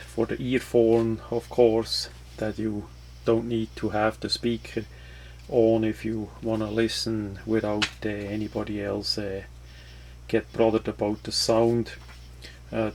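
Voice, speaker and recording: Slow, flat-toned man reviewing a product. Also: lowish voice. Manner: halting, hesitant phrasing. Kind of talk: casual unscripted product walkthrough.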